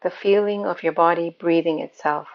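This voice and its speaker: A woman guiding a meditation as a yoga nidra teacher, speaking in a calm, soothing, unhurried manner. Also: lowish voice, muffled recording. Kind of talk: guided meditation.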